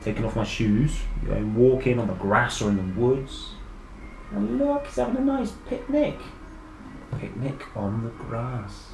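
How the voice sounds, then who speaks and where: A British man reading a picture book aloud to children, enthusiastic and expressive, chatting directly to his young listeners. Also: mid-range voice, a little slow, vocal fry, over music. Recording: echoey room with faint background noise.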